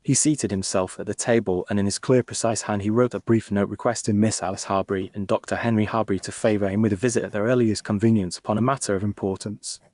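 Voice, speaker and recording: A man playing an observant Victorian gentleman doctor who narrates an audiobook story in formal, literary, measured fashion. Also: fairly low pitch, fairly even intonation, dry recording, close to an everyday voice. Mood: measured, reflective. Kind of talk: scripted first-person story narration.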